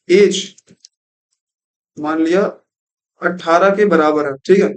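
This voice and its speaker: A man's voice: sits high, rough recording. A young man giving a screen-recorded programming lesson, explaining step by step and mixing in English terms. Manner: explanatory, repeats "thik hai" and mixes in English terms. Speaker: programming tutor.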